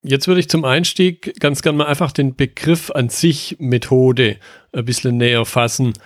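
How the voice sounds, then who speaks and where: A man's voice: mid-range voice, steady tone, normal speed, no room sound, studio quality. A man hosting a podcast, reading a formal intro and asking interview questions in a polished, friendly way. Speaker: podcast host.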